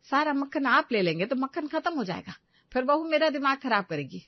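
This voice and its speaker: A woman's voice: high voice, big pitch swings, brisk, no echo, highs cut off, voice pushed up. A woman voicing a bossy, homely older mother-in-law and grandmother in a cartoon story.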